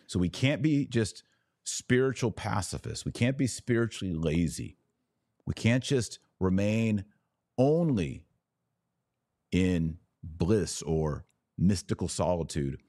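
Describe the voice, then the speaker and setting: An American man hosting a podcast, giving religious commentary off the cuff in an emphatic, preachy way with deliberate pauses and repetition. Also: low-pitched, expressive, dark tone, no echo. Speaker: podcast host.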